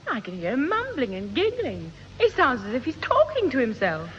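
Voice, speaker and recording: An actress playing an exasperated, teasing older sister in a 1960s radio sitcom. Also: female voice, high-pitched, wildly swooping pitch, normal speed, crowd noise. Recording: muffled 1960s radio recording, hall, audience.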